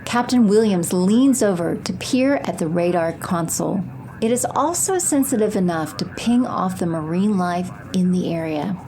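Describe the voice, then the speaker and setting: A woman's voice: lowish voice, expressive, moderate pace, dark tone, a little hiss or hum. A woman reading scripted third-person narration for an audio drama.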